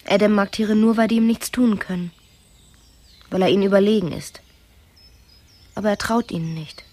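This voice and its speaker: An actress playing a troubled but determined wife in a German radio play, soft, intimate and pointed. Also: female voice, mid-range voice, steady tone, moderate pace, lightly acted. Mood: uneasy, confiding.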